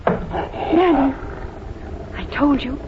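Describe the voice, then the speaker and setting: An actress in a 1940s radio drama playing a brooding, suspicious young granddaughter with a solemn, intense manner. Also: female voice, high-pitched, very slow, background noise. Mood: frightened, insistent. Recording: muffled vintage radio recording, loud music.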